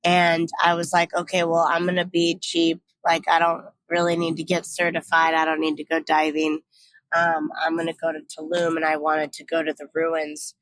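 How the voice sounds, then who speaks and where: A woman's voice: on the low side, a little hiss or hum, low-quality recording. A young woman from California telling a travel story in a casual, reflective way, full of "like"s and restarts. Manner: chatty, reflective, many "like"s and restarts. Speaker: travel vlogger.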